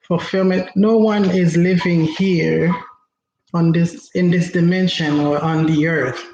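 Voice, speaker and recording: A woman from Haiti whose first language is Haitian Creole, giving an inspirational talk as host, slow and reflective with frequent pauses. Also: on the low side, steady tone, a little mellow. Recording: roomy, dull lo-fi recording with faint noise.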